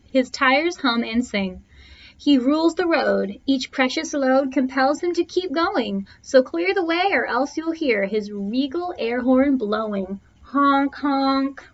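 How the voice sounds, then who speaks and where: A woman's voice: high-pitched, expressive, slow pace, a bit bright. A woman reading a rhyming children's picture book aloud with theatrical phrasing, stretching and punching key words. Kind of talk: expressive children's storybook read-aloud, rhyming verse. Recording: dull, lo-fi sound.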